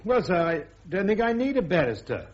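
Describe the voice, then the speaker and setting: A dull radio drama recording presents a proud British army officer. The man speaks frankly and respectfully, sure of himself, in a fairly high voice with mobile intonation. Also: very animated, a little fast. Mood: calm, resolute. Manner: respectful, frank, self-assured. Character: proud, plain-spoken army major on trial. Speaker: accused officer. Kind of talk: radio drama courtroom dialogue.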